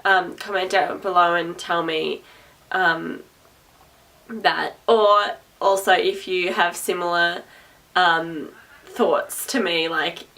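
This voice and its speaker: A young woman with a naturally loud, projecting voice rambles to camera. Her tone sits low with a gravelly fry, and she sounds a little distant from the mic. She is chatty, sarcastic and laughs easily. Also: steady tone, slow. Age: young adult. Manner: sarcastic, chatty, repeats herself, laughs often. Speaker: vlogger. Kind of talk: rambling casual talk to camera.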